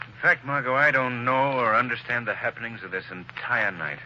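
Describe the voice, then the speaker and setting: A wry gentleman sleuth, lo-fi radio. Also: mid-range voice, brisk. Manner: dry, wry, self-assured. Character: suave wealthy male gentleman sleuth. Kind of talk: 1940s radio acting. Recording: noisy lo-fi old radio broadcast.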